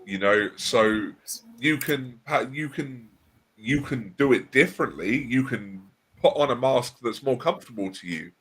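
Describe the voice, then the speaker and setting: Male livestream host, husky tone, thoughtful and halting delivery, relaxed chat. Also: medium pitch. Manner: thoughtful, hesitant restarts. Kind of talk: casual discussion.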